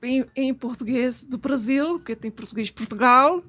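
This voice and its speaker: A woman hosting a podcast interview in a radio studio, chatty and quick to chime in with blunt remarks. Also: high voice, fairly bright tone. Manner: chatty, adds quick remarks and mild swearing. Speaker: podcast host. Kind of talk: casual interview chat.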